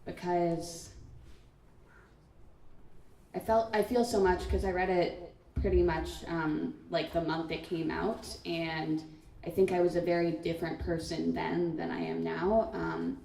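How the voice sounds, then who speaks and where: A woman's voice: lowish voice, level tone, a little slow, gravelly, warm tone, slight background noise. A young woman on a student writers' panel, giving a thoughtful answer with many "um" and "like" fillers. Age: teens. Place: school event hall. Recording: echoey room, far from mic.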